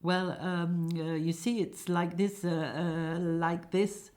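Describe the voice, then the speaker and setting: A woman's voice: mid-range voice, expressive, unhurried, fairly bright tone, voice pushed up. A woman voicing a nervous, lovesick young man in a comic stage play, hesitant and prone to stammering. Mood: trembling, stammering.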